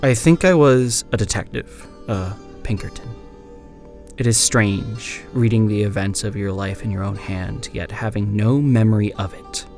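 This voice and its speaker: A man playing an amnesiac old-time detective, narrating a grim first-person account in a horror audio drama in a measured, solemn way. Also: lowish voice, creaky, a little mellow. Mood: haunted, solemn. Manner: measured, solemn, literary delivery. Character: amnesiac former Pinkerton detective writing a grim final account.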